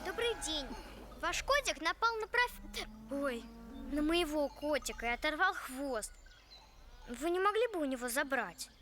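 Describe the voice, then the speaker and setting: A woman voicing a lively, polite, curious young girl in an animated film, eager and exclamatory. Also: high-pitched, unhurried.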